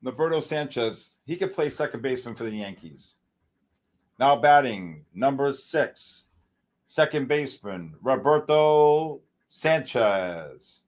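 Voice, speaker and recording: A man's voice: mid-range voice, slow pace. A middle-aged man hosting a live trading stream, joking and hyping up his viewers. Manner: excitable, joking, hypes viewers. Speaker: livestream host.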